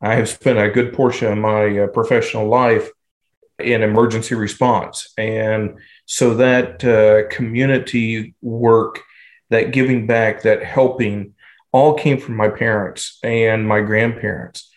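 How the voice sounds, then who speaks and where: An American man in his fifties from southern Indiana, answering interview questions conversationally in a reflective, halting way. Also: lowish voice, flat delivery. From southern Indiana, United States. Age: 50s. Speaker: podcast guest, training director and researcher.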